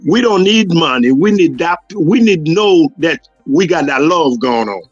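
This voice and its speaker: A man's voice: fairly high pitch, expressive, moderate pace, no room sound, lo-fi sound, soft background music. A man from Haiti with a Haitian accent, hosting a talk show and speaking earnestly about love. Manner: earnest and preachy. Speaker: host. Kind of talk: casual chat.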